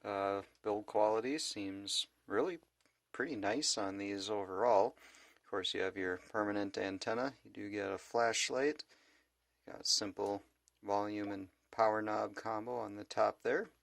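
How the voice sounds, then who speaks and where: A man's voice: on the low side, measured pace, vocal fry, a bit bright, no room sound, studio quality. A man giving a casual, laid-back product review in a plain-spoken way. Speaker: gadget reviewer and vlogger.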